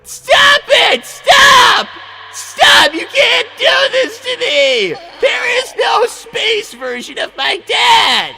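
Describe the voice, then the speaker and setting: A man voicing a high-strung young cartoon fox inventor, stammering and melodramatically exasperated in improvised comedy. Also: big pitch swings, drawn-out words, gravelly, sharp tone, shouting, soft background music, exaggerated voice. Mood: frantic outrage. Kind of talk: improvised in-character comedy livestream.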